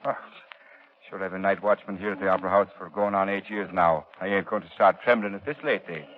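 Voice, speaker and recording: A man's voice: low voice, fairly even intonation, fairly quick, lightly acted. An actor playing a folksy old Irish night watchman in a 1930s radio drama, with rustic speech and an Irish accent. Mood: genial. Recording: muffled, noisy 1930s radio recording.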